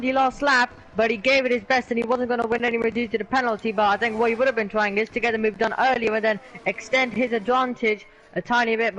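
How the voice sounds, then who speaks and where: A man with a notably high voice talks through a sim race finish as co-commentator. He sums up the battles in a thoughtful, slightly hesitant way. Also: bright, forceful tone, poor audio. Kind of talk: race analysis.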